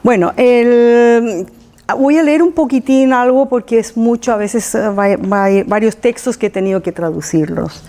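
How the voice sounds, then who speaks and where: Mellow woman lecturer, halting non-native Spanish. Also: a little high. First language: not Spanish. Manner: halting, searching for words. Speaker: speaker giving a talk. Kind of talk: informal public lecture.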